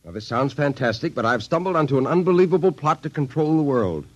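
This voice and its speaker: A man's voice: on the high side, lively intonation, fast talker, no echo, lo-fi sound. An actor playing an earnest, anxious man nobody believes in a 1950s radio drama, insistent and stammering. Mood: desperate, pleading.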